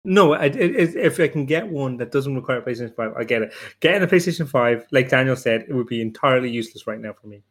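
A man's voice: mid-range voice, lively intonation, quick pace, few pauses. A man in casual, joking panel chat, bantering and sarcastic, with the odd swear word. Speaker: podcast panelist.

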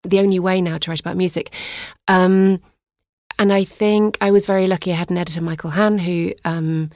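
A woman in her forties from north-west England talks in a reflective, searching way, often restarting her sentences. Her low voice carries a gravelly edge. The sound is close and dry, like a small studio. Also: steady tone, a little fast, a little mellow, dry recording. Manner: thoughtful, searching for words with restarts. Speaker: guest, music journalist. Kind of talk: reflective conversational interview.

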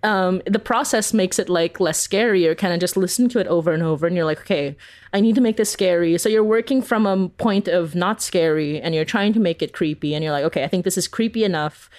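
A woman's voice: fairly low pitch, fast. A woman from the Philippines chatting casually as an interview guest, telling stories in a giggly way peppered with "like". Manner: animated storyteller, uses "like" constantly, giggly. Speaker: podcast creator, interview guest.

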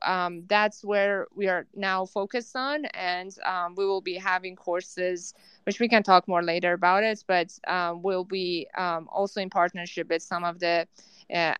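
A woman guest explaining her organisation's work in a measured way with frequent ums. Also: fairly low pitch, fairly even intonation, fairly bright tone, clear, resonant tone, no room sound. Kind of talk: casual conversation.

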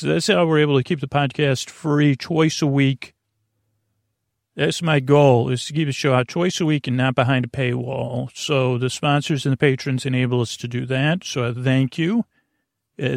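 A man hosting a bedtime podcast, talking through a long, meandering, unhurried intro meant to ease listeners toward sleep. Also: mid-range voice, gravelly, no room sound, studio quality. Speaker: podcast host.

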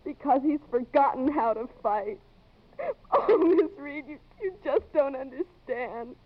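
An actress playing an earnest, distressed young college woman in a 1950s radio drama, speaking haltingly and emotionally. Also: high voice, lively intonation, background noise. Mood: ashamed, bitter. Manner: halting, emotional, repeating words. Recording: muffled, tinny old radio broadcast.

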